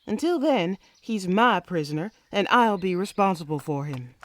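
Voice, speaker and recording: An actress plays a female sheriff of the old West in a comic radio-style drama. Her voice sits at an ordinary pitch, earnest and commanding, with a lovestruck streak under the resolve. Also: lively intonation, normal speed, lightly acted. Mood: firm, commanding.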